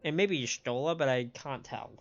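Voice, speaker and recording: A man's voice: mid-range voice, normal speed, gravelly. A man giving casual live commentary as he streams a game. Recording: dull band-limited stream audio, music behind.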